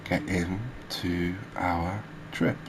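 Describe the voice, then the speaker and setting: A soft-spoken man giving relaxed, unhurried ASMR commentary on a video game, speaking close to the mic. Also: deep voice. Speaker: gaming video creator. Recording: clear background noise, ambience.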